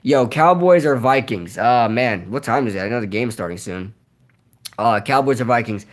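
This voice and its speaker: A young man whose voice is nearly shot from yelling, rambling casually through a live Q&A with lots of fillers and repeated words. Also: medium pitch, lively intonation, moderate pace. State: hoarse, voice nearly gone from yelling. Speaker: streamer hosting a live Q&A. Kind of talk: casual live-stream chat reading comments.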